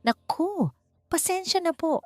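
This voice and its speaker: Narrator lady doing a flustered filipino cleaning girl, high pleading voice, storybook drama with music. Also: animated, a little slow. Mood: flustered, apologetic. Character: apologetic, nervous young female hospital cleaner. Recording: music bed under the voice.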